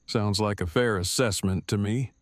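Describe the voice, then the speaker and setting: A man's voice: lowish voice, moderate pace, dry recording, clean studio sound, lightly acted. A man playing an awkward, bookish nerd with a precise, wordy, formal delivery in an audio drama. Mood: formal, reserved. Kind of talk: fan audio drama acting.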